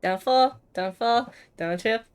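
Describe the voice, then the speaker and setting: A woman's voice: a little high, takes it slowly, background noise. A woman streaming a game with casual, playful, musing reaction chat.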